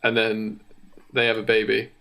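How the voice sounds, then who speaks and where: A man co-hosting a podcast, improvising a comic story in a casual, halting way. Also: fairly low pitch, completely flat delivery, creaky, background noise. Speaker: podcast co-host.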